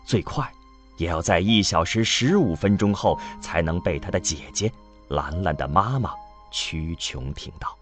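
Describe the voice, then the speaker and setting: Male audio-drama narrator, low register, highly animated phrasing, light music bed. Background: background music.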